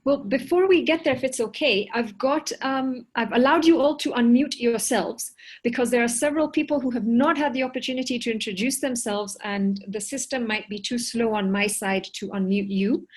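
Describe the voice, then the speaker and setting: A woman's voice: a little high, normal speed. A woman hosting a video call meeting, calling on participants and giving friendly, slightly hesitant instructions. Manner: organizing, friendly, a little hesitant. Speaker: host. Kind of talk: moderating an online meeting.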